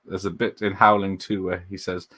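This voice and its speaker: Casual watch-party host: a man with a slightly low voice who rambles through film trivia and talks back to his chat. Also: level tone, moderate pace, pushed and bright. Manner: rambling, chatty, laughs often, reads out chat comments. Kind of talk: casual live movie commentary.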